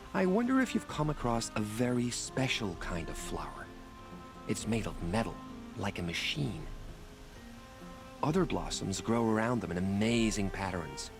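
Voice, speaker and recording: Courtly ex-adventurer turned trader for a fantasy game: lowish, very expressive, flowery delivery over music. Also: male voice. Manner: florid, theatrical, courtly. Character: flowery, genteel male trader and former adventurer, wistful. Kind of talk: video game dialogue acting.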